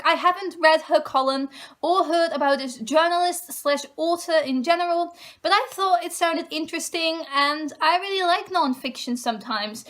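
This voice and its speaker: Girl talking books, super high voice, chatty and eager, fixes herself mid-sentence. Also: fairly bright tone. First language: Dutch. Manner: chatty, self-correcting, eager. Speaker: book vlogger. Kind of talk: casual book-list chat to camera.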